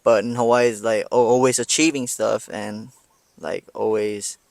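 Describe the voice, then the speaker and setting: A young man from Taiwan, a podcast guest chatting casually with a relaxed, halting delivery full of fillers. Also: lowish voice, gravelly, no echo. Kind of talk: casual interview chat.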